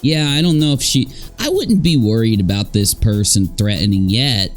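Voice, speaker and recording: A man's voice: on the low side, animated, normal speed, soft background music. A man giving mocking, sarcastic reaction commentary as he talks over clips. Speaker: YouTube commentator.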